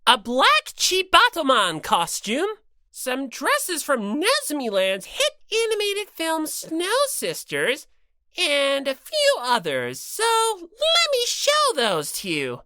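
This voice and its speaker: Smug, mischievous bear mascot. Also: female voice, high voice, huge pitch swings, slow pace, sharp tone, no room sound, studio quality, voice pushed up, heavily acted. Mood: showy, scheming. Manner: taunting, theatrical, mock-sweet. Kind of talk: dramatized audiobook character reading.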